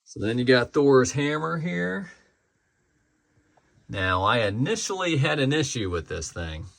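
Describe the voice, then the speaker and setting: A man's voice: mid-range voice, sing-song, unhurried, creaky, a bit bright. A man giving a casual, informal collector's product review, speaking hesitantly as he trails off and circles back over his points.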